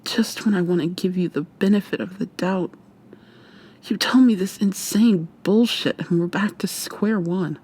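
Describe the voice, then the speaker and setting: A woman playing a defiant, frightened young human captive in an intimate, hushed, breathy ASMR roleplay, tense and sweary. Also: fairly low pitch, unhurried. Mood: angry, distrustful. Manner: tense, profane, confrontational.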